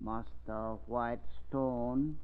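An actor playing a loyal, mystic old native man in old-time radio drama, speaking broken English in short halting phrases. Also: mid-range voice, steady tone, clear, resonant tone. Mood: grave warning. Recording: tinny, band-limited vintage radio recording.

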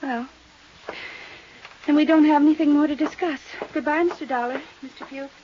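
An actress playing a haunted, unstable young widow with deliberate, intense delivery and loaded pauses in a 1950s radio drama. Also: female voice, high voice, steady tone, close to an everyday voice. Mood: haunted, bitter. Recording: muffled, hissy vintage radio recording.

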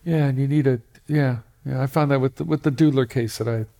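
A man's voice: medium pitch, fairly even intonation, fairly quick, creaky, mellow. A man hosting an interview, asking curious follow-up questions in short prompts. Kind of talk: casual interview.

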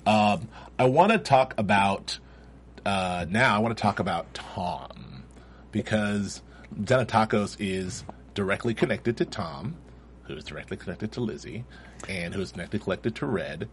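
A man's voice: fairly low pitch, normal speed, a little hiss or hum. A man co-hosting a casual panel chat, recapping eagerly and tripping over his words. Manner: animated, stumbles over words. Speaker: co-host.

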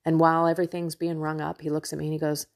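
Low-pitched, slightly nasal woman podcaster. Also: little pitch movement, rapid-fire, gravelly. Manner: effusive, chatty, talks directly to listeners. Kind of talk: casual devotional monologue to listeners.

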